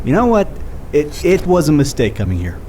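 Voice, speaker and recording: A man's voice: on the high side, wildly swooping pitch, moderate pace, mellow, a little hiss or hum. An actor playing an awkward, cynical, short-tempered male investigator in a naturalistic audio drama. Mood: bitter, angry.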